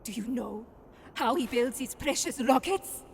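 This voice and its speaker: An actress playing a bitter, vengeful young resistance fighter in a video game, intense and deliberate. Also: female voice, fairly high pitch, expressive, vocal fry, a little hiss or hum. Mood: bitter anger. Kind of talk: dramatic video game acting.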